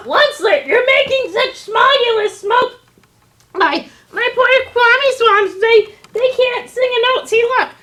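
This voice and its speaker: Puppet-show forest creature: old, grumpy, scolding, very high voice, slow and emphatic rhyming delivery. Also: female voice, lively intonation, pushed and bright, heavily acted. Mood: pleading, coughing. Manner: emphatic, stammering, indignant delivery in rhyme. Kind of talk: children's puppet show storytelling.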